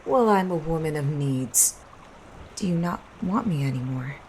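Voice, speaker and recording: A woman acts out a cold, murderous female schemer in an audio drama. Her very low voice stays calm and commanding as she persuades. Faint watery noise sits behind it. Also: moderate pace. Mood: coaxing.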